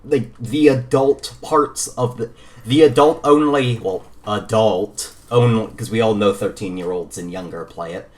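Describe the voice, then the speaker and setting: A man co-hosting a podcast in casual gaming chat, informal and somewhat halting as he corrects himself. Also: fairly low pitch. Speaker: podcast co-host.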